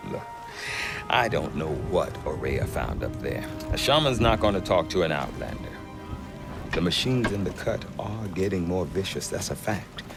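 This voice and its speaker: An actor playing a gruff middle-aged trader who talks with dry humor. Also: male voice, low voice, moderate pace. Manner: wry, dry humor, lively delivery. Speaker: merchant trader. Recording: game cutscene with music behind.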